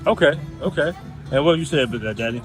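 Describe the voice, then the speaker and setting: A man's voice: a little high, lively intonation, fast, music in the background. A man hosting an interview, asking direct questions and giving short affirmations. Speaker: host.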